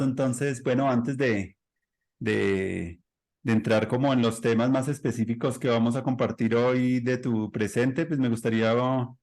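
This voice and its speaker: A man's voice: mid-range voice, darkish tone. A man hosting a conversational podcast, introducing his guest in a loose, rambling way. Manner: rambling, loose, with fillers and restarts. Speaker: podcast host. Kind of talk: casual interview intro.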